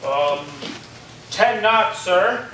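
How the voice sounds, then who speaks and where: Earnest male student for a stage reading acted by a man: high voice, agreeable, distant in an echoey hall. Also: animated, drawn-out words, pushed and bright, exaggerated voice. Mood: dutiful. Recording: echoey hall, far mic, background noise.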